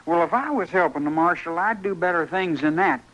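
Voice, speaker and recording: A male actor plays a cocky, sullen frontier youth. His voice is high and forceful, boastful and defiant in a rural American accent, heard on a slightly dull vintage radio recording. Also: brisk, natural voice. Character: cocky, sullen teenage frontier boy itching to shoot. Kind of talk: old-time radio western acting.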